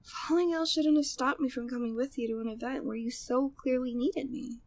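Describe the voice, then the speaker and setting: A woman's voice: high-pitched, steady tone, moderate pace, a little mellow, no echo. A woman playing a flirty, devoted, gossipy maid at a manor in an ASMR audio drama, speaking affectionately and teasingly in formal period wording. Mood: concerned, guilty.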